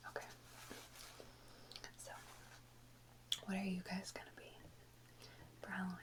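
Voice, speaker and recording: A woman whispering softly and close to the microphone in an informal, rambling ASMR chat with unhurried pauses. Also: mid-range voice, completely flat delivery, rough recording. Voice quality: soft unvoiced whisper. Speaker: ASMR video creator. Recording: close whisper with faint background noise.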